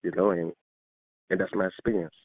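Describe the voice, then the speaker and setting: Tinny phone audio, low male voice, earnest n rambling, repeats himself, dry. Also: level tone, brisk, no room sound. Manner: repetitive, rambling, nonstandard grammar. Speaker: call recipient, student. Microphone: telephone. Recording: tinny narrow phone line.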